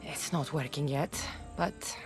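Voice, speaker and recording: A woman's voice: sits low, fairly even intonation, a little slow, faint music behind. An actress playing a bold, wry mercenary woman of ancient Greece in a video game, confident and direct. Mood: businesslike. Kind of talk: video game cutscene acting.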